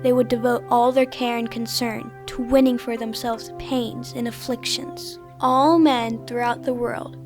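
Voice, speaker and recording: A devout, earnest girl of twelve, played by a woman, speaks in a high voice with music underneath. The delivery is that of a saintly child in a religious audio drama. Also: little pitch movement, unhurried, few pauses, lightly acted. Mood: fervent, solemn.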